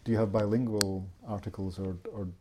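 A man's voice: lowish voice, level tone, fairly quick, noisy background. A man interviewing a guest, asking probing questions and backchanneling often. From Scotland. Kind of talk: research interview.

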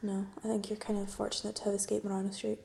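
A woman's voice: mid-range voice, completely flat delivery, fast, vocal fry, dark tone. A young woman from the Western Isles of Scotland, a university student chatting casually and asking questions. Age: young adult. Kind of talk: casual chat.

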